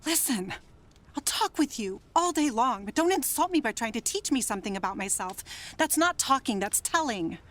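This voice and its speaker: A woman's voice: on the high side, lively intonation, normal speed, fairly bright tone. A woman playing a troubled, guarded woman in a naturalistic radio drama, sardonic, weary and prickly. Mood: defiant. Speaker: actor in an audio drama.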